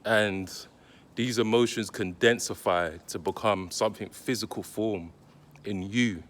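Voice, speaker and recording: A man talking directly to his viewers, giving casual spiritual advice in a slow, deliberate, reflective way with pauses. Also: fairly low pitch. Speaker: spiritual content creator.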